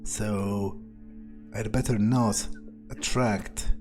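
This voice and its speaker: A man's voice: lowish voice, fairly even intonation, drawn-out words, a little mellow, faint music behind. Man playing a musing, condescending vampire. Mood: grudging, calculating. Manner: hesitant, musing aloud. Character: scheming, condescending male vampire, reluctant servant of a prince. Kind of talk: improvised in-character game playthrough monologue.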